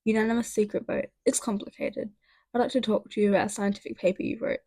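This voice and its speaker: Woman reading as a brisk, authoritative middle-aged official. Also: medium pitch, flat delivery, mellow, slightly rough, no echo. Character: authoritative mid-forties European businesswoman, brisk task force official.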